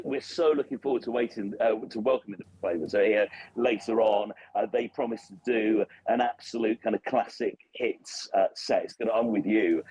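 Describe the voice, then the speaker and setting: A man's voice: mid-range voice, mellow, slight background noise, poor audio. A male radio presenter reporting live and enthusiastically from an outdoor race circuit, promoting a festival. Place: outdoors at a motor racing circuit. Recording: remote line, cars audible behind.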